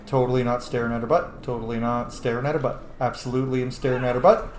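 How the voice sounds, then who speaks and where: A man's voice: mid-range voice, level tone, fast talker, echoey room, a little hiss or hum. A man hosting a gaming video, giving casual, opinionated and emphatic commentary on his gameplay.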